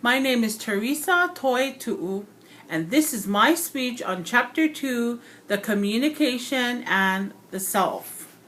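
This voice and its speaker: A woman from Hawaii giving a prepared personal speech for a class, earnest and reflective, pausing and correcting herself now and then. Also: fairly high pitch, expressive, fairly bright tone.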